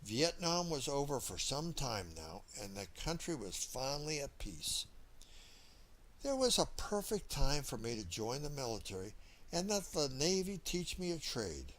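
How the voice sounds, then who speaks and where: A man's voice: medium pitch, sing-song, a little slow, a bit bright, low-quality recording. A man narrating a first-person memoir as an audiobook reader, telling the story from a script.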